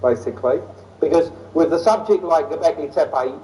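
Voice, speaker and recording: A man's voice: fairly high pitch, moderate pace, slight background noise. A man from London with a London accent, giving an illustrated lecture in an enthusiastic manner. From London, England. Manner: enthusiastic, easily excited. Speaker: lecturer on ancient sites. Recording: voice distant from the mic.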